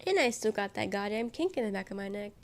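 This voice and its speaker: A woman's voice: medium pitch, animated, fast talker, vocal fry. A young woman livestreamer chatting casually with viewers, her voice nasal and worn from being sick, rambling as she reads chat aloud. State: sick, congested voice.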